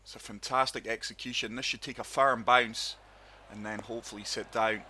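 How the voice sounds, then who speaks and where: A man with a sore throat, hosting his gaming channel and narrating a golf game in calm, steady play-by-play. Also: mid-range voice, fairly quick, a little hiss or hum. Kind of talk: casual gameplay commentary.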